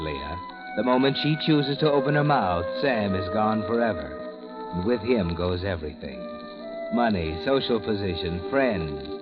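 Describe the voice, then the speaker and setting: A man's voice: fairly low pitch, lightly acted. An actor playing a sinister narrator who mockingly addresses a guilty woman in second person, in a 1940s radio drama. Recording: dull old radio recording with music.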